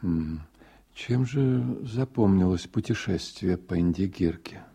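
A reflective storyteller narrating a radio play. His voice is low, very dark and mellow, with a husky grain. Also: expressive, slow, exaggerated voice.

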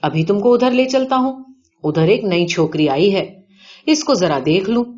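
A woman's voice: medium pitch, lively intonation, darkish tone, close to an everyday voice. A woman reading the part of a rich, boastful male gambling-den boss in a story, showy, blunt and colloquial. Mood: eager, pushy. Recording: dull lo-fi audio.

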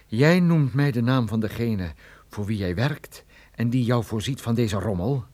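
An actor playing a tough, sardonic private detective in a radio drama, menacing and dryly sarcastic. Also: male voice, medium pitch, lively intonation, measured pace, darkish tone. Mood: cold, menacing. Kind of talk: radio drama acting with first-person narration.

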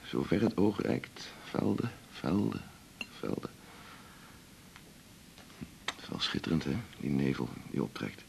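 A man's voice: rumbling low, gravelly, a little mellow, a little hiss or hum, exaggerated voice. A man acting a restless, self-absorbed doctor in a radio drama, musing and emphatic with a slightly theatrical touch. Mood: musing, wry. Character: restless, self-absorbed male doctor, earnest about marathon running.

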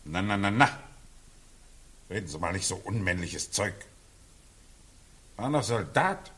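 An actor playing a brusque, pompous middle-aged army colonel in a 1940s radio drama, clipped and condescending. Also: male voice, lowish voice, lively intonation, measured pace, a bit bright, slightly rough, full character voice. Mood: irritated, condescending.

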